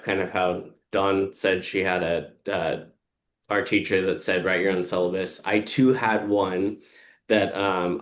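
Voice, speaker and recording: A man from California introducing himself as a painter, telling a lively story and acting out quotes. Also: on the low side. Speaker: painter at a meetup. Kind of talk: casual anecdote.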